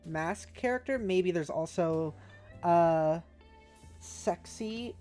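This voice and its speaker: A woman streamer chatting casually with viewers, giggly and halting as she thinks aloud and reads out messages. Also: fairly low pitch, mellow. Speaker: streamer, host. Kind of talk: casual livestream chat, reading out viewer messages. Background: music.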